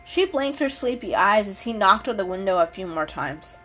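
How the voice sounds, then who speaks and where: A woman storyteller giving an expressive, unhurried dramatic reading of an animal story. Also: mid-range voice, soft background music.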